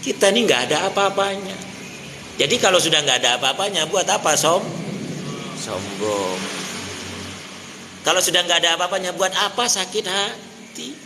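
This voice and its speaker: A man preaching a sermon, questioning his listeners and chanting verses slowly. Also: sits high, animated. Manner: addresses listeners as Pak, asks the crowd questions. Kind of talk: mosque sermon. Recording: hall reverb, faint noise.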